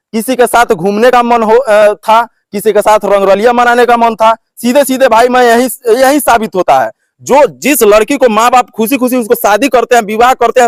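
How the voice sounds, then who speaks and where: A man working as a vlog host, interviewing and commenting to viewers in halting, repetitive phrasing with filler phrases. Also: very high-pitched, no room sound.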